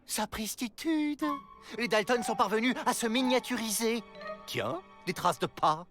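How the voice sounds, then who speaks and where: Fussy cartoon prison official, pompous, theatrical, swooping intonation, music behind. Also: male voice, very high-pitched, lively intonation, measured pace. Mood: alarmed, investigating. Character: pompous, fussy male prison official, given to odd exclamations. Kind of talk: animated cartoon acting.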